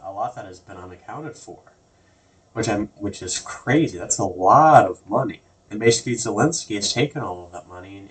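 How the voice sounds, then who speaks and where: A man's voice: on the low side, distant mic, low-quality recording. A man hosting a casual political commentary vlog, rambling with lots of restarts and "like" and "basically". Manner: rambling, repeats words and restarts often; loose everyday phrasing with many "like" and "basically". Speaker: video host.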